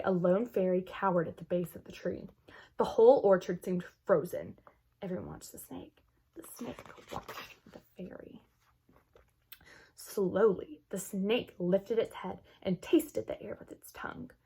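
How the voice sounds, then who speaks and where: A woman reading a children's story aloud expressively, with dramatic emphasis and hushed moments. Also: medium pitch, breathy edge. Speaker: children's book read-aloud host.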